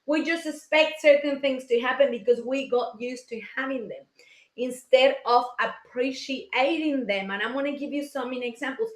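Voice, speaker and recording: A woman's voice: sits high, normal speed. Enthusiastic Mexican-accented woman in her forties. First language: Spanish. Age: 40s. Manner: enthusiastic, chatty, greets viewers in the comments. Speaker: life coach hosting a live session. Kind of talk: motivational livestream talk. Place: colorful home office. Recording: echoey room.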